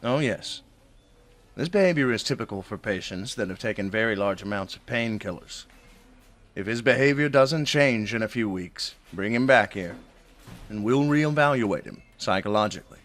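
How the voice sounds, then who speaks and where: An actor playing a calm, matter-of-fact hospital doctor in a horror audio drama, measured and professional. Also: male voice, lowish voice. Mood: calm reassurance.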